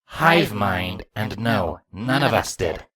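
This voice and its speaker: A man's voice: fairly low pitch, lively intonation, slow pace, a crowd in the background. A man voicing a stern, loyal changeling soldier who is proud and grieving, in a storybook reading. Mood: defensive.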